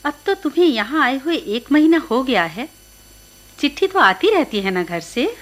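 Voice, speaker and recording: A woman's voice: sits high, big pitch swings, normal speed. An actress playing a kindly woman of authority in a radio play, assured and instructing. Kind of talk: Hindi radio play acting. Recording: old radio play recording, faint music.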